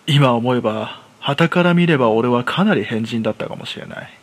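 A man as a reclusive thirtysomething narrator, rough-spoken. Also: medium pitch, natural voice. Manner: colloquial, rough masculine storytelling. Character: reclusive unemployed man in his thirties, jittery, self-deprecating. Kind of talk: first-person horror story narration, radio drama.

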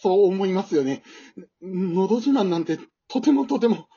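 A woman's voice: medium pitch, lively intonation, normal speed, dark tone, slightly rough, muffled recording. A woman playing a timid, flustered married man in an amateur radio drama, stammering, apologetic and self-deprecating. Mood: dejected, anxious.

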